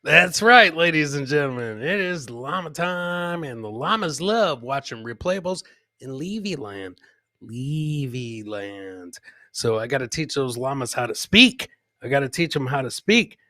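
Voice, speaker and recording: A man hosting a casual, boisterous comedy show with loud, joking banter. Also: mid-range voice, lively intonation, measured pace, no room sound, studio quality. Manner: profane. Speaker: podcast host.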